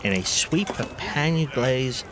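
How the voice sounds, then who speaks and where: An actor playing an eager, hearty, effusive merchant in a fantasy audio drama. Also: male voice, medium pitch, expressive, a little slow, noisy background, lightly acted. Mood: eager, grateful.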